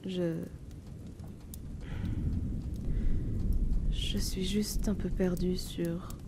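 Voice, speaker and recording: A woman playing a melancholic young noblewoman haunted by her past in an audio drama, speaking in a hesitant, hushed, wistful way. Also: fairly low pitch, slow, heavy background noise. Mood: hesitant, vulnerable. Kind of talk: slow introspective audio drama monologue. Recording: steady loud rainfall behind the voice. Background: rain.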